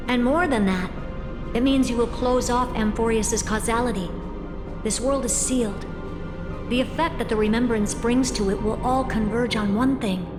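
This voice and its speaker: A woman playing an aloof, all-knowing genius who gives grave counsel in a measured, cryptic way in a video game. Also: fairly high pitch, natural voice. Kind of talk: dramatic video game voice acting. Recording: very echoey long reverb, music behind.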